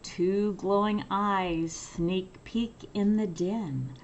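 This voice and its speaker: A woman reading a children's picture book aloud with drawn-out, emphatic, expressive storytelling. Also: medium pitch, dull audio, a little hiss or hum.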